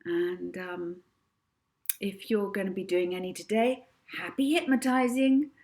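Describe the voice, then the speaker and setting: A woman narrating a children's novel as its author, in an expressive audiobook style. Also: medium pitch, measured pace.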